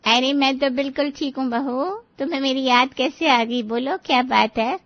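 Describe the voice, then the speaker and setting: A woman's voice: high voice, fairly even intonation, moderate pace, no room sound, muffled recording, lightly acted. A woman playing a kindly middle-aged village aunt who gives patient advice in a cartoon story. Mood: friendly, explaining.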